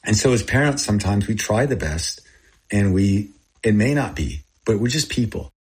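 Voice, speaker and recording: Male, sixties, grew up in Thailand, Thai first language, husky, lowish pitch, earnest personal storyteller, plain short phrases. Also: lively intonation, normal speed, rough recording. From born in Texas, grew up in Thailand. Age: 60s. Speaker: interviewee, missionary aid group founder.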